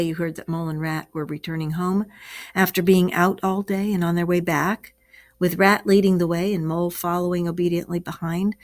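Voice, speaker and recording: A woman teacher reading a story aloud to children and explaining it expressively, asking the class questions. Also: fairly low pitch. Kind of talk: read-aloud lesson for children.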